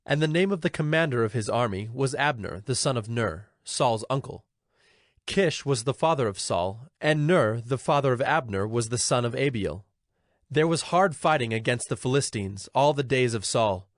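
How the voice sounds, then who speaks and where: A man's voice: medium pitch, animated, normal speed, no echo, studio quality. A man narrating a dramatized audio Bible in a formal storytelling style.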